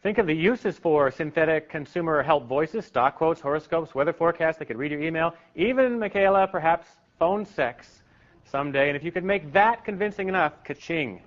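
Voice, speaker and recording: A man's voice: fairly high pitch, moderate pace. A man working as a television news correspondent, talking live with an anchor. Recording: dull, muffled broadcast copy.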